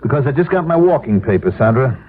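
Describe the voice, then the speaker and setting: A man's voice: medium pitch, big pitch swings, fast talker, a little hiss or hum, lightly acted. An American actor playing a weary, bitterly wry middle-aged office man in a 1970s radio drama, with a brooding, dramatic delivery. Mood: resigned, curt. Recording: tinny old radio broadcast with music.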